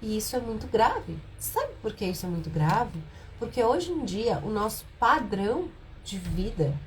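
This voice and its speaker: A woman with a hoarse, nasal voice from a cold, hosting a live stream and explaining a health topic in a softer, lower voice than usual. She poses rhetorical questions to her viewers. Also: slight background noise, rough recording.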